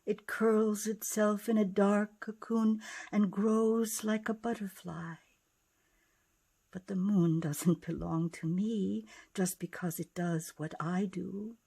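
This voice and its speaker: A woman narrates a children's picture book in rhyming verse. She takes it very slowly, at a medium pitch, with dramatic expression and dips toward a hush. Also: steady tone, creaky, breathy edge. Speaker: author and narrator.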